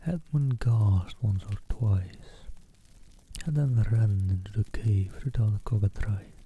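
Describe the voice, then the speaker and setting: A man streaming an ASMR reading, soft, whispery and unhurried, greeting viewers between passages. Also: on the low side. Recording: tinny phone-like line, faint hiss.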